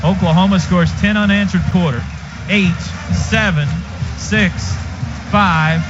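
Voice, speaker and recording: An American man doing live football play-by-play for radio, in an excitable, clipped running call of each play. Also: high voice, takes it slowly, music in the background. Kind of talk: live sports commentary. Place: stadium radio booth. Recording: noisy, dull stadium radio broadcast.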